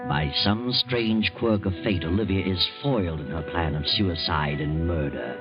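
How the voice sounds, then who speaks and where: Actor as a sinister low-voiced radio mystery narrator. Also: male voice, moderate pace, close to an everyday voice. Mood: ominous. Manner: ominous, mocking. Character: sinister, taunting male mystery-show narrator. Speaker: host narrator. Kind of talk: old-time radio suspense narration. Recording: muffled old radio with prominent music.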